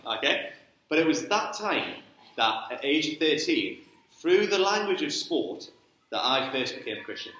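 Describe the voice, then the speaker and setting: Geordie man speaking in an echoey hall. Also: mid-range voice. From Newcastle, England. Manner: lively and chatty, checks in with "okay?". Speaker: guest speaker, sports ministry director. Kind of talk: church talk to a congregation.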